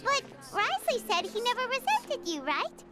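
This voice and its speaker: A woman voicing a small, curious fairy companion in a video game, with a squeaky, bouncy, very high voice. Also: huge pitch swings, normal speed, full character voice. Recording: game audio over background music.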